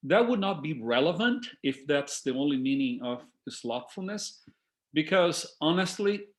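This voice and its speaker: Brazilian guy on a video call, portuguese accent, lively, chatting to folks. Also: fairly high pitch, unhurried. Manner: lively and engaging, addresses listeners as folks. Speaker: guest speaker. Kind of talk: informal talk to a video call group.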